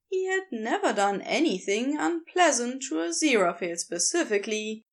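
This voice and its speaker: A woman reading a story aloud as narrator, in an expressive scripted style. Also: a little high. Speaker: podfic narrator.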